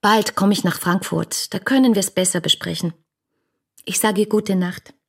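A headstrong young woman pours out her letters in a German radio play. Her voice is of medium height with a husky edge. She speaks with fervour and literary expressiveness. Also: medium pitch, moderate pace. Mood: indignant, brisk. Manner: impassioned, expressive literary delivery.

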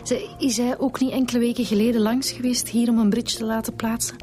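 A woman's voice: on the high side, fairly even intonation, brisk, warm tone, soft background music, natural voice. Polite, probing Flemish policewoman played by an actress. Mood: businesslike, firm. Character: persistent, businesslike female police inspector questioning people. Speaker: radio drama actor. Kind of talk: naturalistic radio drama acting.

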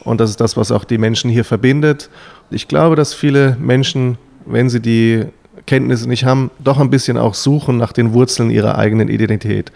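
Rabbi guy explaining slowly, bit gravelly voice. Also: medium pitch, steady tone, a little mellow. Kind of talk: explaining to listeners.

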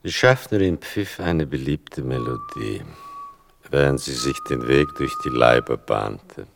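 A grave, ironic son tells his story as narrator of a radio play. The voice is extremely deep and gravelly, with slow, deliberate literary phrasing. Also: lively intonation, exaggerated voice. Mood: wry, fond. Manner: measured, deliberate, dryly ironic.

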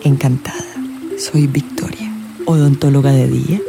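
A mysterious, flirtatious woman who reads tarot by night. Her delivery is theatrical and teasing, in radio drama style, with music clearly behind her. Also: very deep voice, flat delivery, normal speed.

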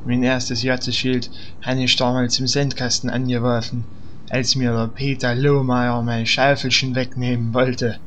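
Slow, naive paladin, played by a man. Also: mid-range voice, level tone, fairly bright tone, slight background noise, close to an everyday voice. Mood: earnest. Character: naive, devout, childlike male paladin. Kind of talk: parody radio-play acting.

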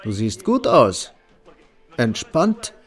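An actor playing a weary, evasive middle-aged architect in a radio drama, speaking in a hesitant, guarded way. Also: male voice, mid-range voice, big pitch swings, very slow, soft background music. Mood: puzzled. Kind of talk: German radio drama acting.

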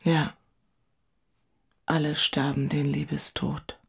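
A woman's voice: low voice, level tone, takes it slowly, vocal fry, husky, no echo, studio quality, full character voice. An actress playing an emotional, infatuated single city woman in an intimate audio drama. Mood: intimate, wry.

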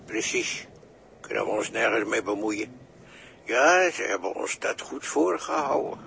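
An actor playing a mocking, unhelpful deckhand in a radio play, drawling with mock formality. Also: male voice, lowish voice, expressive, a little slow, creaky, bright, forceful tone, heavily acted. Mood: mocking, smug. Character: mocking, pompous idle deckhand, wryly unhelpful. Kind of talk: 1960s Dutch radio play acting. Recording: faintly noisy 1960s radio recording.

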